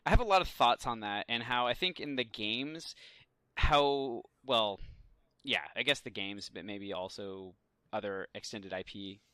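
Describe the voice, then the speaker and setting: A man's voice: fairly low pitch, unhurried, pushed and bright, clean tone, no echo. A man co-hosting a podcast in casual chat, thinking aloud with some hesitation as he works out his opinions. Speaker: podcast co-host. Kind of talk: casual chat, pop culture discussion.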